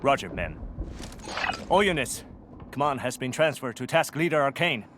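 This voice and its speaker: A man's voice: medium pitch, sing-song, normal speed, a bit bright, a little hiss or hum. An actor playing a loyal, dutiful male squad officer in a video game, giving military reports and orders. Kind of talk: scripted video game voice acting.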